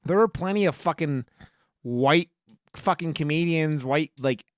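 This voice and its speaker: A man's voice: a little high, a little slow, no echo. A man co-hosting a podcast, chatting casually about film in a rambling, emphatic, sweary way. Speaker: podcast co-host. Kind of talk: casual film review chat.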